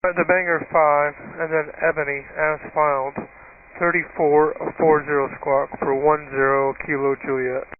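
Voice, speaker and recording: A man's voice: fairly high pitch, level tone, unhurried. A man speaking as a pilot over aircraft radio in clipped readback phrases. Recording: muffled, staticky air traffic radio.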